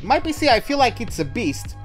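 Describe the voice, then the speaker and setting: A man's voice: very high voice, sing-song, fairly quick, soft background music. A man giving casual, chatty commentary as he streams a racing game live. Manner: chatty, greets viewers by name mid-commentary. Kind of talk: casual livestream gaming commentary.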